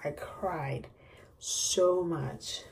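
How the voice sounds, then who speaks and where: A woman in her forties chatting casually for a vlog, pausing often between short phrases, recorded on a phone indoors at home. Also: deep voice, steady tone, creaky, darkish tone. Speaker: vlogger, host. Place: small rooms at home, indoors. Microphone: phone at arm's length. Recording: noticeable background room noise.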